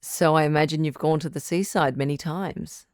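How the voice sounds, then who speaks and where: A woman playing a calm, firm relationship advice doctor hosting a radio call-in drama, measured and probing. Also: sits low, no echo, clean studio sound, lightly acted.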